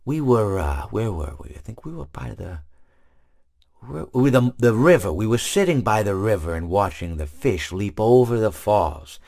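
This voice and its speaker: An actor playing a friendly, long-winded animatronic hippo who rambles through meandering stories, full of restarts. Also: male voice, fairly low pitch, big pitch swings, moderate pace, creaky, darkish tone. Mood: rambling.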